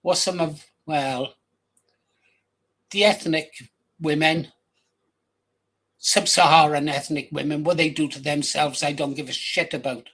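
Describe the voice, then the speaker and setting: Older Welsh-accented male host with a slightly high voice, blunt and rambling, off-the-cuff talk to camera. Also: fairly even intonation, measured pace, long pauses, a bit bright, husky, poor audio. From England, raised in Wales from age ten. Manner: blunt, crude, rambling with restarts. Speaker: channel host. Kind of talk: casual rambling monologue.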